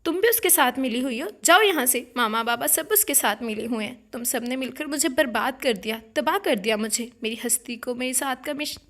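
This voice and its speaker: Woman doing an upset young bride in a story, angry and loud, voice sits kinda high. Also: animated, vocal fry, heavily acted. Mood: furious. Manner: emotional, raised voice. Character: young woman, distraught bride, bitter and defiant.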